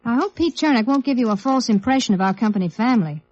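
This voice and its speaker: An actress playing a brisk, helpful American company office woman in 1950s radio drama style. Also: fairly high pitch, expressive, darkish tone. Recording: muffled old radio recording.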